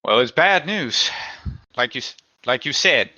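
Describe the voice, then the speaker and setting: A man playing a gruff, streetwise gang man in a tabletop game, plain-spoken and halting as he offers a truce. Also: medium pitch, lively intonation, sharp tone, dry recording, lo-fi sound, full character voice. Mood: earnest, coaxing. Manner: folksy, plain-spoken, halting, repeating himself. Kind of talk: improvised tabletop roleplay.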